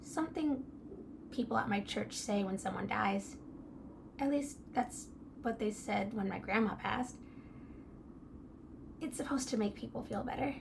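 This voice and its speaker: A woman voicing a gentle, timid teenage girl who speaks softly and stammers when unsure. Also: medium pitch, unhurried, creaky, pauses often. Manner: soft, hesitant, self-doubting, stammers. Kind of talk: audio drama acting. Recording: noisy ambient background.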